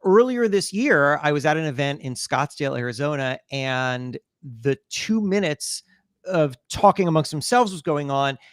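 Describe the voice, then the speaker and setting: A man speaking as an interview guest, telling stories conversationally and acting out bits of dialogue in an animated way. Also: on the high side, normal speed, clean tone, no echo, studio quality.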